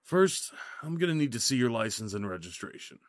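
An actor playing a skeptical, by-the-book highway patrol officer in an audio drama, official and matter-of-fact. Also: male voice, very animated, brisk, no echo, clean studio sound, close to an everyday voice.

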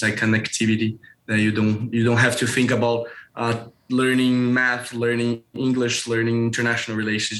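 A young Brazilian man speaks English as a second language, with a Portuguese-shaped accent. His voice sits at an average pitch. He gives casual interview answers in a halting way, often searching for the right word. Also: fairly bright tone, low-quality recording. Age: young adult. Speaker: interview guest, school alumnus.

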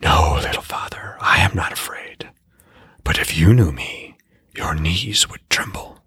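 A man's voice: low-pitched, lively intonation, unhurried, husky, no room sound, studio quality, heavily acted. A man whispering as a mocking spirit in a well. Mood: cryptic. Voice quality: eerie hushed whisper. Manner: mocking, cryptic, patient. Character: taunting hidden spirit speaking from a well. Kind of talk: audiobook character voice.

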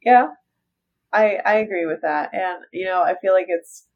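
A woman chatting on a podcast, her voice stuffy from allergies. She sounds wry and easygoing, with a mid pitch, and the recording is slightly dull and muffled. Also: moderate pace, a little hiss or hum. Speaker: podcast co-host. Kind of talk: casual chat.

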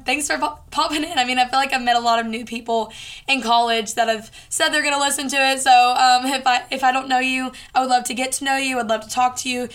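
A woman in her late teens hosting a casual solo podcast, chatting in an informal, earnest, encouraging way, her voice hoarse and raspy from lots of talking. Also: sits high, a bit bright. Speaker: podcast host.

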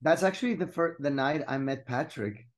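A man from Greece, a musician guest telling stories in a relaxed way. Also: on the high side, moderate pace. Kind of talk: casual storytelling.

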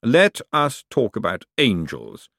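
Audiobook role: ruling lord male narrator acting, measured, formal, quietly threatening, drily ironic, close dry sound. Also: fairly low pitch, wildly swooping pitch, vocal fry, no echo, exaggerated voice. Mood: coolly commanding. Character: urbane, calmly menacing ruling lord, dry and precise.

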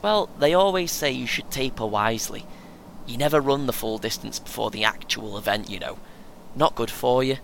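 Audio play: male actor as cocky, earnest young British runner, chatty know-all, bright edge, average pitch, light engine rumble underneath. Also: moderate pace, creaky, poor audio. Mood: advising, keen. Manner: earnest, self-important, chatty, chuckles often. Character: chatty, boastful, eager young amateur marathon runner. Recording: faint vehicle noise behind. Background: vehicle rumble, coach ambience.

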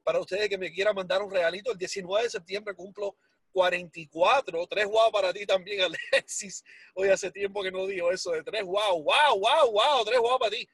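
A man in his forties with a Cuban Spanish accent and a high, husky voice, telling his story with animated, self-mocking humor. English words slip into his Spanish, and the sound is close and dry. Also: normal speed, no echo. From Miami, United States. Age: 40s. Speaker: guest. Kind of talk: casual storytelling interview.

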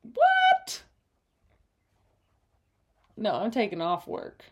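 A young woman co-hosts a relaxed chat with her partner. She sounds bubbly and expressive, laughing readily and gasping when surprised. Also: medium pitch, slightly rough. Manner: bubbly, laughs often, quick gasps of surprise. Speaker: podcast co-host. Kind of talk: casual couple's chat.

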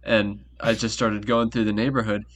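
Young man, lowish voice, relaxed storytelling, easygoing. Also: normal speed. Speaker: podcast co-host. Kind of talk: casual storytelling.

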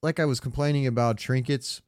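A man's voice: medium pitch, fairly even intonation, brisk, a little mellow, no room sound. A man with a clean, resonant voice recorded close and dry, chatting as a podcast co-host. His delivery is informal and rambling, circling around his point in loose, conversational banter about games. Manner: rambling, informal, frequent swearing.

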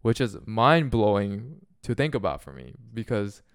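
A man co-hosting a casual podcast, talking in a rambling, animated way with lots of "like" and "um". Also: on the low side, a little slow, clean tone, no echo, studio quality. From Japan. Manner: animated, rambling, many fillers like "like" and "um". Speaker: podcast co-host.

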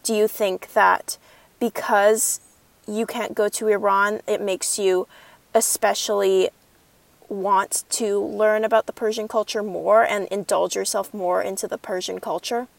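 A young woman interviewing a friend, asking questions hesitantly with many pauses and fillers. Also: mid-range voice, fairly even intonation, vocal fry, no room sound.

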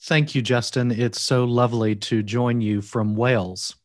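A man with a Kentucky accent talks in an earnest, measured way, leaning on key words like a preacher. His voice sits in a middle pitch. The recording is dry, with no room echo. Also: fairly even intonation. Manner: earnest, deliberate, preacherly emphasis. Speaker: guest, seminary teacher and preacher. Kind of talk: measured podcast interview. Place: recorded from Wales.

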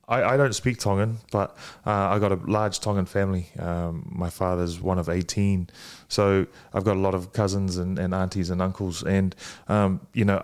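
A man, a guest talking casually with many pauses and restarts. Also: lowish voice, darkish tone. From Fiji and New Zealand. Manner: hesitant, many ums and restarts. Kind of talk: casual conversation.

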